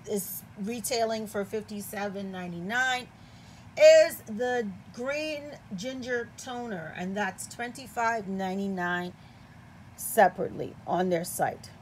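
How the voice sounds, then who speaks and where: Excitable, quirky woman for a casual indoor product chat; slightly high pitch, faint background hiss. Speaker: product reviewer. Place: indoors at a table.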